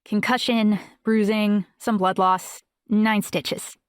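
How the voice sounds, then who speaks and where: A woman's voice: medium pitch, fairly even intonation, takes it slowly, smooth, no echo, close to an everyday voice. Woman acting a young female audiobook character, self-assured, talkative, matter-of-fact, conversational, dry close sound. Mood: matter-of-fact, reassuring.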